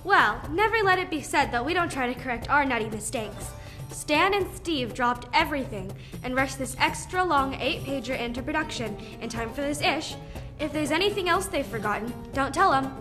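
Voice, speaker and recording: A woman's voice: sits high, expressive, normal speed. A teenage girl acting as a jokey co-narrator in a comic-book radio play. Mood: playful, teasing. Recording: music under the voice.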